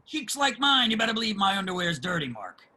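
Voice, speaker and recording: A man playing a streetwise homeless man in a play reading, generous and opinionated, with salty, emphatic street talk and a husky voice. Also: high-pitched, big pitch swings, fairly quick, pushed and bright, full character voice. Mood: wry. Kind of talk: dramatic table reading of a stage play.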